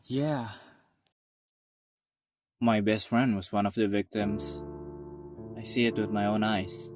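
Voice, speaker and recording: Earnest young male protector played by a man, lowish pitch, Indonesian accent, radio drama with prominent music. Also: lightly acted. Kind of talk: amateur radio drama acting. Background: loud music.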